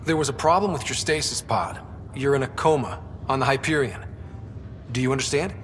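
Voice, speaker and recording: A man's voice: mid-range voice, a little fast, a bit bright, close to an everyday voice. A man voicing a young, wry and earnest space explorer in a video game, with a dry, casual manner. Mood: gentle, grave. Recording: reverberant, faint background noise.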